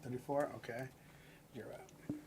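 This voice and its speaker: A woman hosting a dating podcast, steering the talk with short brisk prompts. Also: extremely low pitch, fairly even intonation, a loud crowd. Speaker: podcast host. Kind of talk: casual chat.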